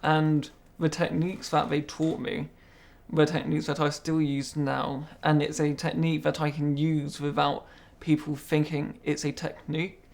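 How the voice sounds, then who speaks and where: A young man with a stammer answers interview questions in a careful, measured way, sometimes repeating words. His voice sits fairly high for a man with a gravelly edge. He keeps a flat, steady tone throughout. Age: young adult. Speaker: interviewee, apprentice. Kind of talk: interview conversation.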